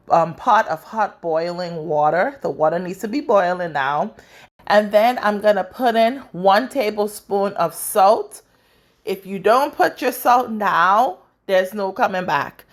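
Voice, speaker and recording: A woman from the Bahamas with a Bahamian accent, hosting a home cooking tutorial in her kitchen and chatting directly to her viewers. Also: mid-range voice. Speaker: cooking channel host.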